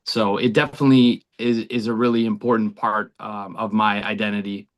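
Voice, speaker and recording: Middle-aged man, low-pitched, earnest and reflective interview guest. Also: little pitch movement, brisk. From United States, near Boston. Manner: earnest, reflective, many ums and you knows. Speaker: college baseball head coach.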